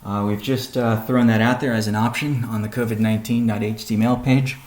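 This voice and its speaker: A man presenting a company update on a conference call, explaining in a measured way with deliberate pauses. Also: on the low side, fairly even intonation, a little mellow.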